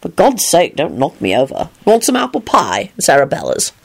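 A woman voicing a polite, unruffled man in a storybook, drily humorous and quietly firm. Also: low voice, huge pitch swings, moderate pace, gravelly, slightly rough, exaggerated voice. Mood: playful.

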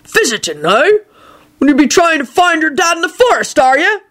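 Storybook giant gamekeeper voiced by a woman, gruff and protective, exclamatory, very animated delivery. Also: sits high, brisk, voice pushed up. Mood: suspicious. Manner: rustic, scolding, exclamatory.

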